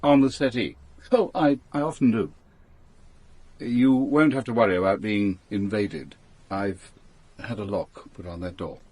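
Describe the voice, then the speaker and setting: An actor playing a courteous, solitary gentleman in a British radio drama, formal and precise, with a gently instructive manner. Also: fairly low pitch, fairly even intonation, measured pace, breathy edge. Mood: gently inviting.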